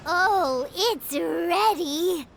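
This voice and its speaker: A woman's voice: very high voice, extremely slow, vocal fry, exaggerated voice. A woman voicing a tiny, childlike fairy companion in a video game, cutesy and exclamatory. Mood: excited. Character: tiny chirpy childlike fairy companion, talks in third person. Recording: loud background music.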